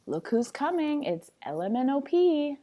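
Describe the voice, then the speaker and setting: Slow-paced, playful woman reading aloud. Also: high-pitched, big pitch swings, warm tone. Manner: sing-songy, playful, dramatic delivery. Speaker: teacher reading a picture book aloud. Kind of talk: expressive read-aloud with chatty asides.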